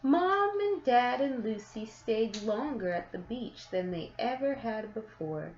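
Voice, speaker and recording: A woman reading a children's picture book aloud, with deliberate, expressive phrasing and drawn-out words for young listeners. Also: a little high. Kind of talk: children's story read-aloud. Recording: echoey room, slightly muffled sound.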